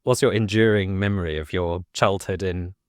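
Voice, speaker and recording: A man's voice: deep voice, fairly even intonation, moderate pace, dry recording, studio quality. A man hosting a business podcast, asking a guest curious, friendly questions. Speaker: podcast host.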